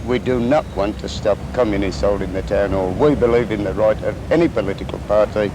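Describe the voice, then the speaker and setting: A man's voice: lowish voice, brisk. Formal union leader, man, noisy recording. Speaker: trade union council president. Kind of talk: formal interview answers. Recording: noisy 1950s archive film sound.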